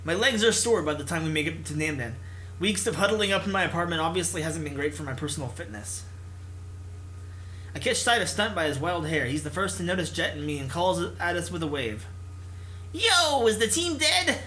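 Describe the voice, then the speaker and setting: A man's voice: a little high, a little fast, fairly bright tone, a little hiss or hum, rough recording. A man hosting a gaming channel, reading a visual novel aloud and adding his own commentary.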